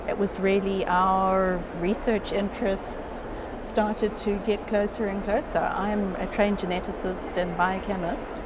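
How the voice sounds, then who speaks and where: A woman from Zambia in her fifties, chatting frankly and lively in an interview. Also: medium pitch. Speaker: interviewee, geneticist. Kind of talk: casual interview chat. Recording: loud outdoor noise.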